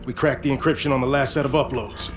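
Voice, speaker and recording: A man's voice: medium pitch, steady tone, quick pace, music in the background, lightly acted. An actor playing a serious military intelligence analyst in a video game, briefing his team in a matter-of-fact, clipped way. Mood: urgent. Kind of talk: scripted mission briefing.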